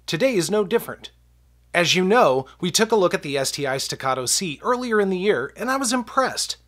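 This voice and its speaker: A man's voice: sits high, sing-song, a little fast, a bit bright. A man with a stuffed-up voice from a cold, hosting a casual gun review and chatting with viewers as "guys.". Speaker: gun review channel host. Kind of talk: casual product review talk to camera.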